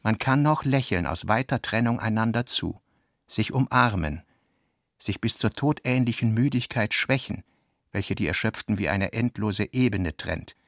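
A man narrating a literary radio play with deliberate, dramatic phrasing and pauses. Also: fairly low pitch, expressive, fairly bright tone, dry recording, clean studio sound.

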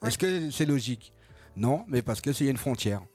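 A man's voice: mid-range voice, lively intonation, a little fast, darkish tone, breathy edge, faint music behind. A French-born man on a radio debate show, earnestly explaining a point from his own experience. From France. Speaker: debate participant.

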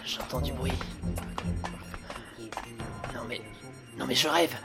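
A nervy, grumbling inspector over music. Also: male voice, mid-range voice, steady tone, slow pace, creaky. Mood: uneasy, grumbling. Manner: exasperated, sardonic, nervy. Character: grumbling, anxious police inspector, sardonic man. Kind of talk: French audio drama acting. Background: dramatic music.

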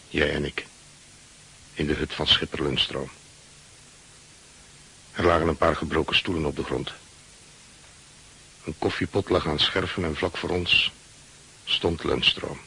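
An actor playing a seafaring man who narrates grim memories in a measured, sombre radio play style. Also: very deep voice, steady tone, gravelly, sharp tone, slightly rough, exaggerated voice, low-quality recording.

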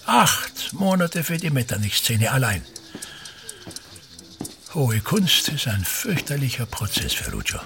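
Pompous, gravelly old thespian. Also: male voice, medium pitch, huge pitch swings, measured pace, long pauses, background noise, heavily acted. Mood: self-important. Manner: pompous, declamatory, ruminating. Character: pompous, grandiose, bitter ageing male actor-manager and father. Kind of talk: German radio play acting.

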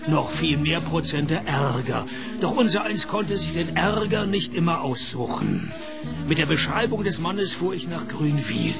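A world-weary private eye in a radio play. His voice is fairly high with a gravelly creak, delivered deadpan and laconic with a cheeky edge, over clear music. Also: unhurried. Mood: wry, deadpan. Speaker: lead actor and narrator of a radio detective series. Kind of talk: radio play acting and first-person narration.

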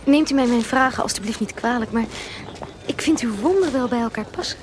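An actress playing a modest, naive young niece in a Dutch radio drama, polite, earnest and inquisitive. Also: female voice, on the high side, fairly quick, close to an everyday voice. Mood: curious, polite.

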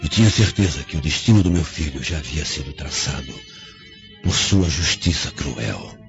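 A man's voice: rumbling low, expressive, moderate pace, gravelly, exaggerated voice. An actor with a Brazilian accent playing a proud, vengeful dying father confessing with remorse, in solemn, theatrical radio drama phrasing. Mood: bitter confession. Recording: dull lo-fi radio drama, faint music behind.

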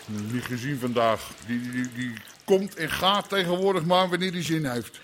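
An actor playing a middle-aged, boastful underworld boss in a Dutch radio drama, blustering and stubborn. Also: male voice, mid-range voice, lively intonation, normal speed, fairly bright tone, slight background noise, natural voice. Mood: defensive. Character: cocky old-school Amsterdam underworld boss, boastful and stubborn.

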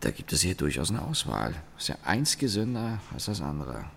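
A man acting as a young, sardonic engineer telling his story in a radio play, wry and deliberate, with pauses and self-corrections. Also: sits low, big pitch swings, gravelly, fairly bright tone, a little hiss or hum, heavily acted. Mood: bantering. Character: young engineer, sardonic company employee recalling his father. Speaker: narrator and lead actor. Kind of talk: German radio play monologue, reflective storytelling.

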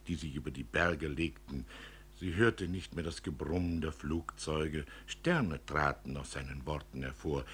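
Husky male literary narrator. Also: extremely low pitch, fairly bright tone.

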